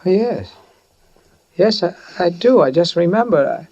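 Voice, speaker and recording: A menacing young American handyman in vintage radio suspense. His husky voice lurches up and down as he taunts with childlike, threatening questions. He sounds unhinged and deliberate. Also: sits high, expressive, a little mellow, exaggerated voice. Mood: eerily childlike. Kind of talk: 1940s radio drama acting. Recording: old radio broadcast, slightly muffled voice.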